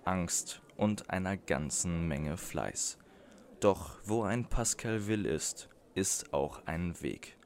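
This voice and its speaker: A man reading a scripted documentary narration in a measured, deliberate voice-over. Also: sits low.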